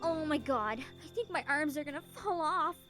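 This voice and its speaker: High-voiced, sassy young little sister. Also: female voice, normal speed, music in the background. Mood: weary. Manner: casual, sassy, expressive. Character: spirited teasing little sister, young woman. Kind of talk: video game voice acting.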